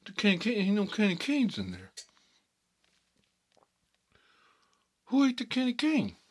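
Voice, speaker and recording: A man playing a gruff, sardonic mob boss who rambles and repeats himself through mock threats, in an ASMR-style comic monologue with a husky voice. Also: high voice, huge pitch swings, brisk, pitched above the performer's own voice, heavily acted. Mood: accusing, threatening.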